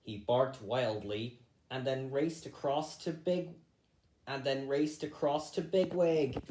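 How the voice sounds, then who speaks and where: A man reading a children's story aloud, a little halting, going back over misread phrases. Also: mid-range voice, dark tone, roomy sound, slight background noise, low-quality recording. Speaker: storybook reader.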